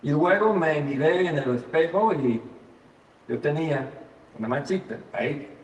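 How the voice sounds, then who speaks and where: A man's voice: on the high side, expressive, measured pace. An older American man who speaks English as his first language, preaching a sermon, telling a personal story with light self-deprecating humor and frequent hesitations. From United States. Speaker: guest preacher. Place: church sanctuary. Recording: echoey church hall from far off.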